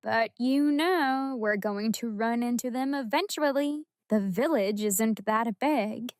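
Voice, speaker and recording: Woman playing mischievous young best friend for audiobook, chatty, teasing, fairly high voice. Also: slow pace, smooth, no echo, studio quality. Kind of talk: audiobook character acting.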